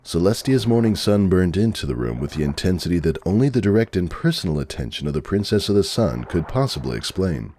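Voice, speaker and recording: A man's voice: deep voice, sing-song, brisk, few pauses, warm tone, slight background noise. A man narrating a story from a script as a dramatic reading. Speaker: narrator, fan fiction reader.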